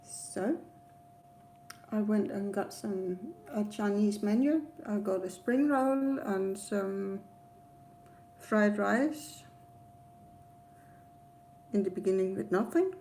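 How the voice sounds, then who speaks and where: Woman, Danish accent, native Danish speaker, unhurried pace, mellow tone, hesitant rambling vlog storytelling, slight background noise. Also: medium pitch. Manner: hesitant, rambling, with frequent pauses. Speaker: travel vlogger. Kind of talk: casual personal storytelling to camera.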